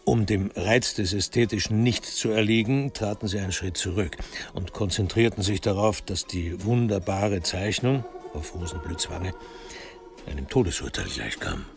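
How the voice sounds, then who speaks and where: A man narrating a literary crime radio drama in a measured, precisely articulated way. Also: low-pitched, expressive, vocal fry, soft background music.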